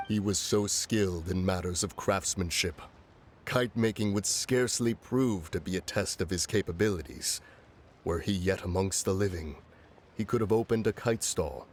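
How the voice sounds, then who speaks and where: A man's voice: sits low, a little hiss or hum. A man voicing a solemn ancient immortal in a video game, speaking in a formal, archaic, stately manner. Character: solemn ancient adeptus mourning an old friend. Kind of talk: video game character dialogue.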